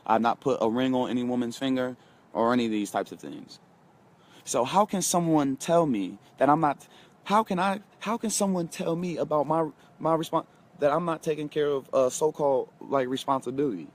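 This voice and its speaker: Man in his twenties, motivational vlogger, emphatic and repetitive, mid-pitched voice. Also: a little fast. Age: 20s. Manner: emphatic, repeats lines and talks straight to viewers. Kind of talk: casual motivational monologue.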